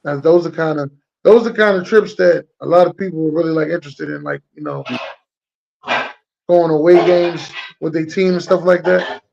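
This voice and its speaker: A man with a high, gravelly voice hosting a casual chat. He talks loosely with slang and repeats himself, heard through dull, lo-fi sound. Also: moderate pace, darkish tone, slight background noise. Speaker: host.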